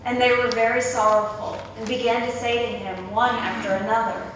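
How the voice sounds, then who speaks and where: A woman's voice: level tone, brisk, a bit bright. A woman narrating scripture as part of a dramatic reading in a church. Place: church during a service. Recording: echoey church hall, distant mic, rumbly noise.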